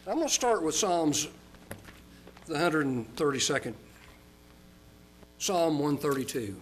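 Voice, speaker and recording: An older man from Oklahoma preaching a sermon as a pastor, folksy and chatty, slowing down for emphasis. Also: a little hiss or hum, low-quality recording. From Oklahoma, United States. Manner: folksy and chatty, with chuckles and fillers; slows and rises in pitch for emphasis.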